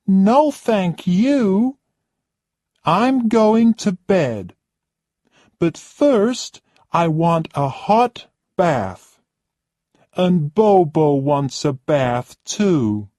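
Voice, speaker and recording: A reader voicing a spoiled, demanding woman who complains shrilly and loudly. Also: mid-range voice, very animated, extremely slow, no echo, studio quality, pitched above the performer's own voice.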